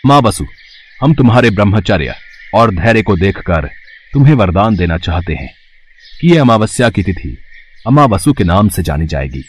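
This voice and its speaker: A man's voice: on the low side, sing-song, normal speed, a little hiss or hum. A man playing a stern yet benevolent divine forefather spirit in a devotional cartoon story, speaking in formal, solemn pronouncements. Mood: solemn, granting.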